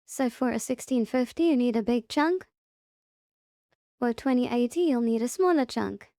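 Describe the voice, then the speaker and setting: A woman presenting a step-by-step software tutorial in short, simple instructional sentences. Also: on the high side, moderate pace, dry recording, studio quality.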